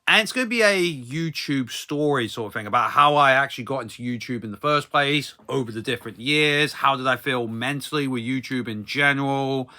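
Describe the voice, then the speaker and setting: Bright, forceful man rambling casually. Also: mid-range voice, fairly quick, few pauses. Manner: hesitant and repetitive, often trailing into tag phrases. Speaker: vlogger. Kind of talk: rambling casual story time monologue.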